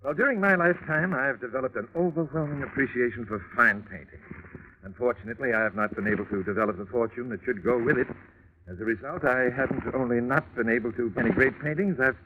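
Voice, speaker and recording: An actor playing an earnest, long-winded gentleman art organizer, speaking formally and self-importantly in 1940s radio drama style. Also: medium pitch, fast talker, natural voice. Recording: tinny, noisy vintage radio recording.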